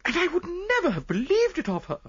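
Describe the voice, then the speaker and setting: A man's voice: very high-pitched, wildly swooping pitch, a little fast, fairly bright tone, lo-fi sound, pitched above the performer's own voice, exaggerated voice. A man voicing a distressed, fretful wealthy wife, plaintive and exclaiming, in an audiobook story. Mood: fretful, indignant. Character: distressed, fretful wealthy married woman, dramatic.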